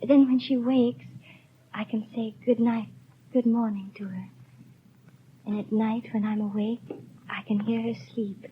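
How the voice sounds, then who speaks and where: A woman's voice: a little high, little pitch movement, measured pace, long pauses, natural voice. An actress playing a dying young mother in a 1930s radio drama, breathless and often whispering, weak from illness. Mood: whispered longing. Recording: dull lo-fi 1930s radio broadcast, faint hiss.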